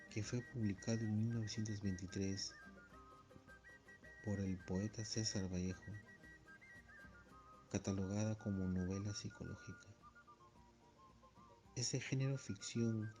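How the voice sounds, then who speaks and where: A man presenting in scripted narration. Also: fairly low pitch. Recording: music clearly behind the voice.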